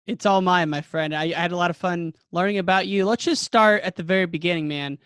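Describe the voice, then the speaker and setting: A man's voice: high voice, fairly quick, a bit bright, clear, resonant tone, no echo, clean studio sound. A man hosting a podcast and interviewing a guest, upbeat and friendly. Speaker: podcast host.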